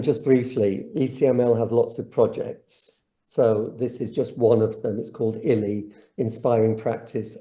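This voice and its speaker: A man's voice: mid-range voice, mellow. A man giving a keynote talk at an online conference. He lectures with enthusiasm in a loose, improvised way, often restarting his sentences, and speaks through a computer mic on a video call. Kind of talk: academic conference presentation.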